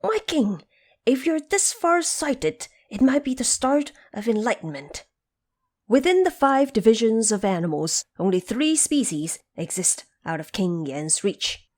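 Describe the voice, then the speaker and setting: A woman voicing a wise, reverent ape adviser in a storybook drama, declaiming in measured phrases. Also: on the high side, very animated, darkish tone, pitched above the performer's own voice. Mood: eager.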